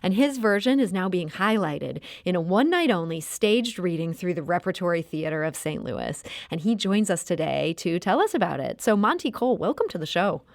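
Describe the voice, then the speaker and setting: Low-voiced woman hosting talk radio. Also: sing-song, a little fast, gravelly, few pauses, dry recording, studio quality. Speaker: radio talk show host. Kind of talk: scripted radio introduction and interviewing.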